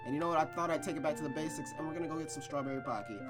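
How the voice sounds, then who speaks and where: A man's voice: fairly high pitch, racing, over loud music. A young man hosting a reading of a visual novel, talking energetically and casually, then narrating the story. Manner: energetic, chatty, swears casually.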